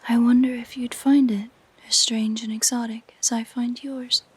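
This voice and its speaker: A woman voicing a possessive, curious siren in an intimate audio roleplay, speaking in soft, gentle, musing whispers. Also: a little high, flat delivery. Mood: musing delight. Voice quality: soft, breathy, mostly whispered. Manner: slow, gentle, musing delivery. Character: possessive, curious female siren, sweetly entranced by humans.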